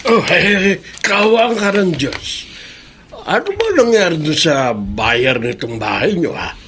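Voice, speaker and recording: A Filipino man playing an indignant but compassionate elderly father with a husky voice in a radio drama. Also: fairly high pitch, sing-song, slow, background noise. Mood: concerned, fatherly.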